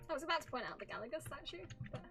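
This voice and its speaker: A woman's voice: high-pitched, animated, racing, creaky. A woman livestreamer giving casual, chatty game reaction commentary, thinking aloud as she goes. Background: soft game music.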